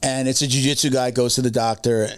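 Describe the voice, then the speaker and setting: A man's voice: mid-range voice, flat delivery, quick pace, smooth, dry recording, clean studio sound. A man in his fifties, a podcast co-host chatting casually, rambling with many fillers and restarts. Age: 50s. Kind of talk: casual chat.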